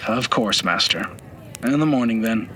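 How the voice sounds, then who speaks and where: Man acting an eager, bright-voiced young apprentice. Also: lowish voice, lively intonation, normal speed. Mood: resigned, polite. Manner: eager, deferential. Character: eager, curious young male apprentice archivist. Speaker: voice actor. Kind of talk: fantasy audio drama acting.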